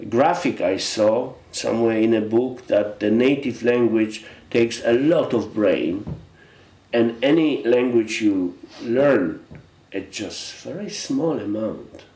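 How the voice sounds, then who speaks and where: A middle-aged man from Poland with a Polish accent, chatting as an interview guest in a halting, reflective way with frequent restarts. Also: medium pitch, darkish tone, reverberant. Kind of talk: casual interview chat.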